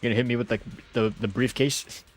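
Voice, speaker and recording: A man's voice: medium pitch, fairly even intonation, fast. Joking man streaming over faint music. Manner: joking, slangy, often chuckling. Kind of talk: casual gameplay commentary.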